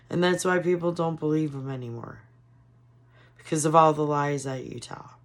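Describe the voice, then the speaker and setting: Hoarse woman venting about politics, gravelly and fed up, raspy throat. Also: extremely low pitch. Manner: frustrated, ranting. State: hoarse, losing her voice. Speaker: commentary vlogger. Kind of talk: casual political commentary.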